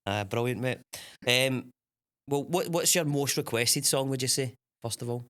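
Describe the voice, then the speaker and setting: A man's voice: medium pitch, normal speed, a bit bright. A man hosting a podcast, keeping the chat casual and often saying "brilliant" and "mate" as he asks questions. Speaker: podcast host. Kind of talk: casual interview.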